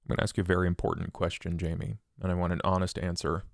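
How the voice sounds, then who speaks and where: A man voicing a cold, controlled killer in a tabletop role-play, questioning in a deliberate, formal and menacing way. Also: deep voice, fairly even intonation, gravelly, dry recording, clean studio sound. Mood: measured, probing. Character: cold, controlled male killer interrogating his copycat.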